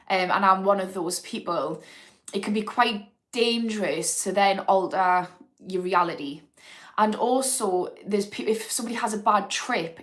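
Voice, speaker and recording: A woman, hosting a casual livestream and talking frankly about addiction recovery as she answers viewers' comments. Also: medium pitch. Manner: chatty and frank, thinking aloud with fillers. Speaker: host of an addiction recovery channel.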